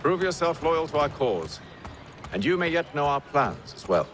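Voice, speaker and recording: A male actor playing a composed, guarded gentleman mentor in a video game, formal, clipped and authoritative. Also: on the high side, sing-song, normal speed, a little hiss or hum. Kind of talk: video game cutscene acting.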